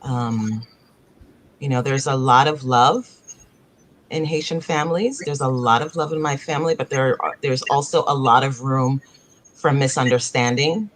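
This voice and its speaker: A woman's voice: rumbling low, normal speed, poor audio. A woman playwright born in Africa and raised in the United States, speaking on a video-call panel in a thoughtful, searching way, often circling back to rephrase.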